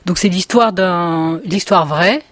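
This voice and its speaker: A woman interviewer asking measured, prepared questions in a radio interview. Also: fairly low pitch, dry recording.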